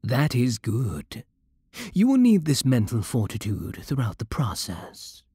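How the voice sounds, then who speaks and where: A man with a British accent playing a possessive, seductive vampire king in an intimate ASMR roleplay, commanding and formal, with a soft, husky voice. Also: medium pitch, wildly swooping pitch, no room sound, studio quality, exaggerated voice. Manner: formal, slow, teasing, imperious. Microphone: close, dry microphone.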